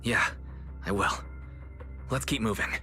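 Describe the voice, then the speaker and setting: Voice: mid-range voice, a little slow, sharp tone, faint music behind. An actor playing a serious, determined investigator in a video game, earnest and analytical. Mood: apologetic, resolute.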